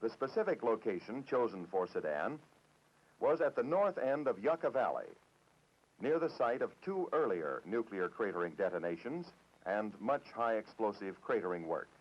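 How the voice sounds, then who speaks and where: An American man reading a formal, measured, matter-of-fact script in the style of a 1960s government documentary narrator. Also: on the low side, very animated. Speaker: training film narrator. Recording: dull, dated 1960s film soundtrack.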